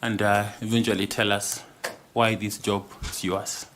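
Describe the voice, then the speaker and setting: A man's voice: fairly low pitch, flat delivery, fairly bright tone, slight background noise. Courteous man chairing a panel. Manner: courteous, deliberate, formal. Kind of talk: formal panel interview opening. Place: interview room. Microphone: table microphone.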